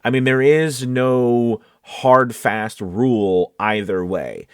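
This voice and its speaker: A man's voice: medium pitch, slow pace, clear, resonant tone, no room sound. A man speaking as a voice-over coach in a casual tutorial, conversational and often checking in with his listeners. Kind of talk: casual talking-to-camera tutorial. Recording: close, dry home studio sound.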